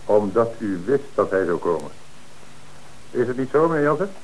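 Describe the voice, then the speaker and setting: An actor playing a police inspector who questions a suspect in a measured, dryly ironic way in a radio play. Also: male voice, fairly low pitch, big pitch swings, darkish tone, slight background noise, poor audio. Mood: accusing. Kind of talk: radio drama acting.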